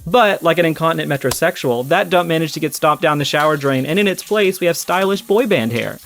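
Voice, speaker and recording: A man's voice: on the high side, lively intonation, fairly quick, a bit bright. A man narrating a scripted video essay as a game story analyst, with casual, irreverent, profane asides and a jokey manner. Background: background music.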